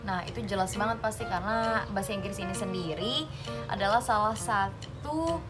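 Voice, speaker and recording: A woman's voice: mid-range voice, moderate pace. A young woman in a casual explainer vlog, chatty, asking and answering her own questions. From Indonesia. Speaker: vlogger explaining air traffic control. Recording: loud music behind the voice.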